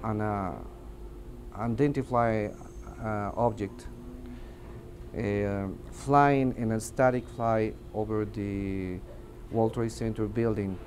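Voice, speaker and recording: Halting low-voiced man with Mexican Spanish accent. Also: fairly even intonation, darkish tone, slight background noise. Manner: halting, hesitant, searching for words in non-native English. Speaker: air traffic controller giving witness testimony. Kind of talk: interview testimony. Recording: music under the voice. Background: background music.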